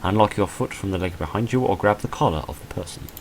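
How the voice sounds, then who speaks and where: A deep-voiced man narrates a visual novel playthrough. He reads the text like a dramatic story and drops in dry, offhand commentary. There is some faint noise behind his voice. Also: lively intonation, fast talker. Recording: noticeable background noise.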